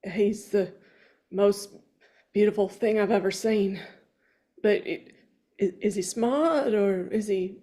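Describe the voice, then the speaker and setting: A woman reading the part of a simple, earnest, tender-hearted man at a table read, with a halting, stammering delivery. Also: mid-range voice, dark tone, close to an everyday voice. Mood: awed, anxious. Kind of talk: informal screenplay table read.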